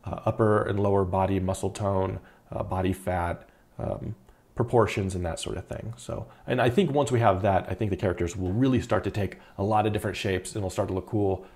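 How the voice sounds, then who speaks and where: A man in his thirties, an indie game developer, talking casually through a screen-recorded devlog with a relaxed, rambling manner and many fillers. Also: deep voice, brisk. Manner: relaxed, chatty, frequent uh fillers and repeated words. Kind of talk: casual video devlog narration.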